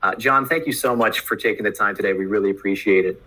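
A man's voice: lowish voice, fast, sharp tone, low-quality recording. A man co-hosting a history podcast, introducing the guest and asking questions with some fillers. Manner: scripted intro, then loose questions with fillers. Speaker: podcast co-host.

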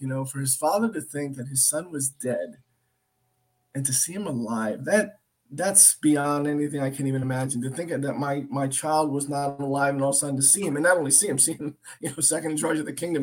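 A man's voice: on the high side, breathy edge. A middle-aged man with an American accent, teaching the Bible informally in an earnest storytelling way, repeating phrases for emphasis. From Philadelphia area, United States. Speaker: preacher.

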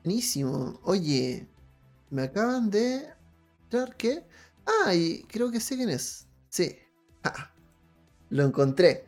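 Man hosting over music, slightly high voice. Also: sing-song, slow, pauses often, darkish tone. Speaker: host of a role-playing live stream. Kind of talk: casual live-stream chat. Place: online video call. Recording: music playing behind the voice.

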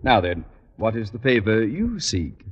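A pompous blustering principal. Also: male voice, lowish voice, sing-song, measured pace, husky, heavily acted. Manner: pompous, long-winded, self-amused. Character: pompous blustering male high school principal. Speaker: school principal. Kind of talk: 1950s radio sitcom acting. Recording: old radio broadcast, muffled with reverb.

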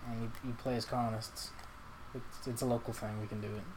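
A man's voice: medium pitch, flat delivery, brisk. A tipsy man co-hosting a casual podcast, laid back with mumbled asides. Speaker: podcast co-host. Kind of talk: casual chat. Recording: audible background noise.

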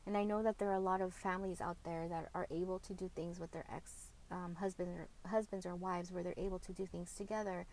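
A woman hosting a podcast, speaking openly and reflectively about co-parenting, often pausing and correcting herself. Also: on the low side, flat delivery, darkish tone, slight background noise. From Mexican heritage, grew up speaking Spanish at home. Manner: reflective and open, with frequent fillers and self-corrections. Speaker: podcast host. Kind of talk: candid personal podcast monologue.

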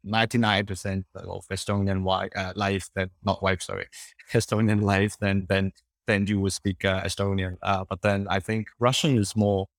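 A man's voice: sits low, little pitch movement, normal speed, vocal fry, no room sound, studio quality. A young man whose first language is Cantonese, talking in a casual interview, rambling with many fillers and restarts.

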